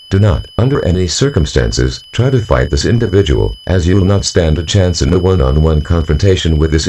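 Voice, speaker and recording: An extremely deep man playing an emergency alert announcer delivers an official scripted warning. He is stern and formal, with lively swings in pitch, over a faint noisy hum.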